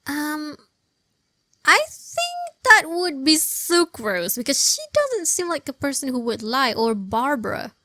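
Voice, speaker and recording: A woman's voice: high-pitched, wildly swooping pitch, slow pace, sharp tone, no echo, studio quality. A woman giving casual gameplay commentary, hesitant and musing aloud as she goes. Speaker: game player and commentator.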